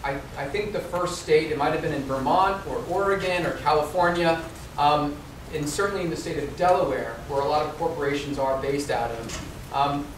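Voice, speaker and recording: A man's voice: fairly high pitch, steady tone, normal speed, distant mic. A middle-aged man giving a conference presentation in a lecture room, conversational with many ums, quizzing the audience. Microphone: far room camera, no mic seen. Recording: echoey room recorded from afar, noisy.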